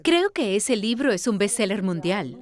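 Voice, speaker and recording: Mid-pitched woman asking interview questions. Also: sing-song, moderate pace. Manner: engaged, prompting follow-up questions.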